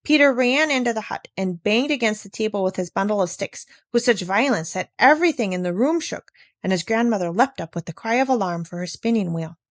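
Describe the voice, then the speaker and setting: A woman reading a children's classic aloud as expressive scripted narration. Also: medium pitch, fairly quick, few pauses, no echo, clean studio sound. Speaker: audiobook reader.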